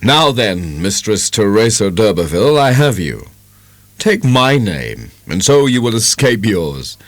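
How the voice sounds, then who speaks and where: A man plays a tender young gentleman courting his beloved in an audiobook drama. The voice is low and very lively in pitch, coaxing and gently insistent, in a dry, close recording. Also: unhurried, no echo. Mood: ardent. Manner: coaxing, affectionate.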